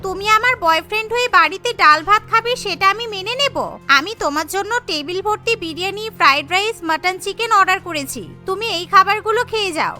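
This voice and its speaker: Cartoon doting rich girlfriend, voiced by a woman: middle-aged widow, bossy, gushing, very high-pitched, music underneath. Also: lively intonation, quick pace, hardly any pauses, bright, forceful tone, heavily acted. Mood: pampering. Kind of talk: cartoon voice acting.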